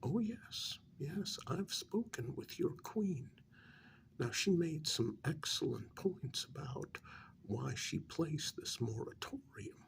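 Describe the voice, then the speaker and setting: A performer softly playing a patient, probing official in an ASMR role-play, speaking in a measured, gently reproachful half-whisper. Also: medium pitch, expressive, slight background noise, rough recording. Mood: explaining, firm. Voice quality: soft, breathy half-whisper. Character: patient, probing official questioning a renegade vampire.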